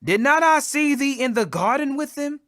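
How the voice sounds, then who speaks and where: Guy putting on an indignant accusing servant, pitched way up high, bright and pointed. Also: lively intonation, normal speed, dry recording, studio quality, heavily acted.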